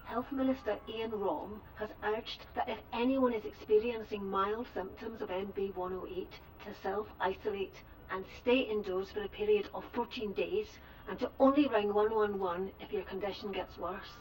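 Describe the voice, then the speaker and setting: A woman acting a composed TV news reporter in an audio drama, formal and measured. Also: medium pitch, fairly even intonation, slight background noise, close to an everyday voice, low-quality recording. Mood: composed, serious.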